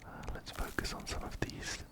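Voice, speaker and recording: A man whispering ASMR game commentary very close to a binaural mic, gently repeating his phrases. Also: moderate pace. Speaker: ASMR host playing a video game. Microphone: close binaural microphone, ear to ear.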